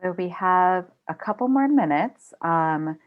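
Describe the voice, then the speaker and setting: Low-voiced woman moderator, lo-fi call. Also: lively intonation, unhurried. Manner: casual and upbeat, reading out audience questions. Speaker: bookstore event moderator. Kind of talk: virtual book event moderation. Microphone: video call.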